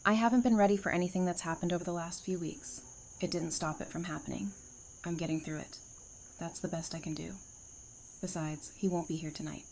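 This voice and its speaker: An actress voicing a weary but steady young woman who talks quietly. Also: fairly low pitch, fairly quick, slight background noise, lightly acted. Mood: subdued.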